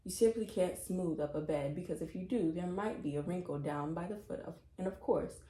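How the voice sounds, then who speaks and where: A woman's voice: on the low side, fairly quick, dark tone, reverberant, natural voice. A woman reading a storybook as a playful, kindly woman who confides tall tales with dramatic emphasis. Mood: instructive, ominous.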